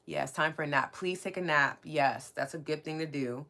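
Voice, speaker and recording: A woman's voice: low voice, normal speed. A woman with a strong New York accent hosting a live spiritual podcast, chatting and reading out listener comments. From New York City. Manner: warm, chatty, reads out listener comments and thanks them. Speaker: podcast host and coach.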